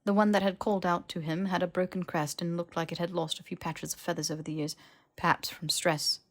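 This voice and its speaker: Lowish-voiced woman narrating fiction. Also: flat delivery, fairly quick. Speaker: audiobook narrator. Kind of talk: expressive scripted fiction narration.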